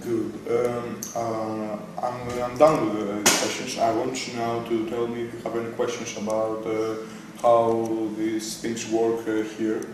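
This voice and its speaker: A teenage boy playing a demanding company interviewer in a school role-play, formal but halting. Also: fairly low pitch, monotone, close to an everyday voice. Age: teenage student. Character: demanding male boss interviewing a candidate. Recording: very echoey, distant, noisy room.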